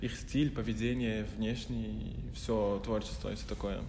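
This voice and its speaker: A man with an Arabic accent chatting in an interview, talking quickly and warmly about his team, often laughing, with slightly broken grammar. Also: lowish voice, big-room sound, a little hiss or hum, poor audio. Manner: fast, animated, laughs often, imperfect grammar. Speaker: fashion photographer. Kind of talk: casual interview chat.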